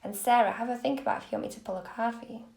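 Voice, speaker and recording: A woman reading tarot on a live stream, gentle and soothing, a little croaky from a cold. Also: fairly high pitch, very fast, heavy background noise. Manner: gentle, soothing, lots of okays. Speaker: tarot reader.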